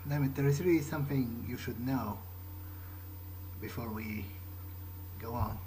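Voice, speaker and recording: A man improvising in-character narration for a game playthrough, speaking in a halting, understated, thoughtful way. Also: medium pitch, warm tone, slight background noise, poor audio. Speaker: gaming video creator roleplaying a character. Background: game music.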